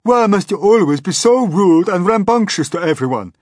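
A man's voice: sits high, lively intonation, moderate pace, a little mellow, no room sound, studio quality, voice pushed up. A man doing a scolding prim earthworm. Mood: indignant. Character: fussy indignant earthworm.

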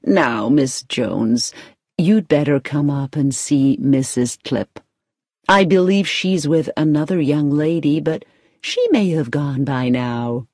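Woman voicing a low, kindly American gentleman. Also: huge pitch swings, slow pace, breathy edge, dry recording, studio quality, heavily acted. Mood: kindly, courteous. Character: kindly, tall, grey-haired American gentleman.

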